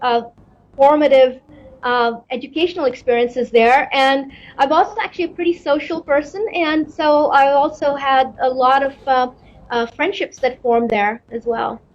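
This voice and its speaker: High-voiced woman answering with repeated restarts. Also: fairly even intonation, moderate pace, lo-fi sound, a little hiss or hum. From Pakistan. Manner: thoughtful, repeats words while searching for them. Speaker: astrophysicist guest. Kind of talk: interview answers.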